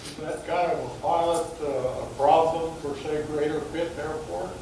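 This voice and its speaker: A man's voice: fairly high pitch, steady tone, moderate pace, dark tone. A man in an audience asking a question, picked up at a distance in a room. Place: room with an audience, far from the mic. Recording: distant, echoey, noisy room pickup.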